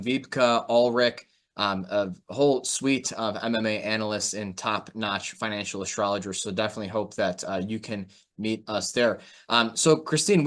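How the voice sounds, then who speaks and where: A man's voice: lowish voice, a bit bright. A man speaking as a podcast host and market analyst, in a casual conversational style. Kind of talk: casual interview hosting with announcements.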